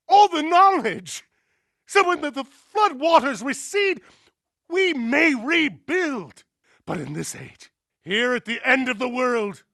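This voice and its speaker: An actor playing a zealous, commanding middle-aged militia colonel in a video game, speaking in a grandiose, deliberate, preaching way. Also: male voice, very high-pitched, sharp tone, no room sound, clean studio sound, full character voice. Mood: solemn preaching. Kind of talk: video game voice acting, oratorical.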